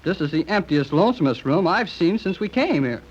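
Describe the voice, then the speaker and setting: A brash young American adventurer in a 1930s radio serial. His delivery is folksy and exclamatory, leaping up and down in pitch. The recording is dull and vintage. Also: lively intonation, fairly quick, slightly rough. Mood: puzzled. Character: brash, eager, slangy young male adventurer.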